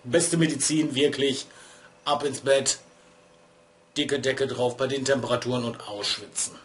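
A man's voice: medium pitch, steady tone, unhurried, fairly bright tone. Chatty German-speaking man, slightly stuffy. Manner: chatty, digressive, self-deprecating. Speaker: vlogger and streamer. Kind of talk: casual rambling vlog talk. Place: small room at home, lit by LED strips.

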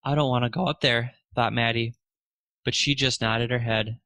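A man's voice: medium pitch, fairly even intonation, moderate pace, sharp tone, no echo, studio quality. A man narrating a children's storybook aloud, expressively lifting his voice for the dialogue.

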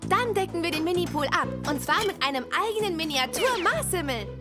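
An enthusiastic young cartoon fashionista, with a very high voice. She gushes with lively, bouncing intonation. Loud music plays behind her. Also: fairly quick. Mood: excited. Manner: gushing, enthusiastic. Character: excitable, fashion-obsessed young girl. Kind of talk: animated series dub acting.

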